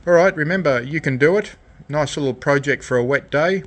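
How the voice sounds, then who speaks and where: A man giving an unscripted hands-on demonstration of a DIY electronics project, with a halting, rambling delivery. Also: fairly high pitch, fairly bright tone. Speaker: DIY electronics hobbyist presenting his project. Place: indoor radio shack workbench.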